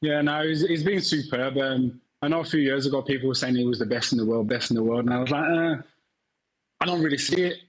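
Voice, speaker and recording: A man with a middle-pitched voice talks football on a studio panel as a former defender. He speaks haltingly, restarting sentences and repeating words as he searches for them. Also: poor audio. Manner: halting, many restarts and fillers.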